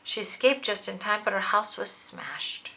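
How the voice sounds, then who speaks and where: A woman's voice: medium pitch, lively intonation, a little slow, creaky, sharp tone. A woman reading a children's storybook aloud, narrating expressively and dramatically. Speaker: children's book reader.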